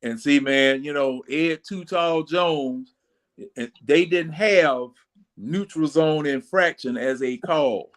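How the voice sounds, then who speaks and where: A man's voice: on the high side, takes it slowly. A man in his sixties chatting casually about sports as a panelist, rambling and folksy in his storytelling. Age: 60s. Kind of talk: casual football fan chat.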